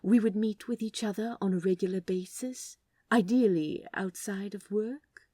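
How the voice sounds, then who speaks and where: Woman playing a prim, theatrical, eager gentleman. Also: mid-range voice, fairly even intonation, moderate pace, husky, dry recording, studio quality, pitched above the performer's own voice, natural voice. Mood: coy. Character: prim, polite, eager male office worker, playfully theatrical.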